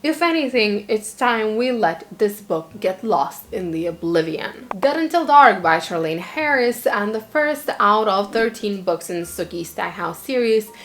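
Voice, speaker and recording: A woman's voice: medium pitch, animated, unhurried, few pauses, a bit bright. A young woman from Lithuania, a native Lithuanian speaker, giving candid, opinionated book reviews in English in a chatty vlog style with the odd filler. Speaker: book vlogger.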